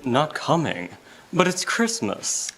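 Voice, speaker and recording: A man playing a gentle, doting, poor Victorian father in a live radio play, with a tender, halting delivery. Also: on the high side, wildly swooping pitch, breathy edge, heavily acted. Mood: playful surprise. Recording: noisy live stage recording with clicks.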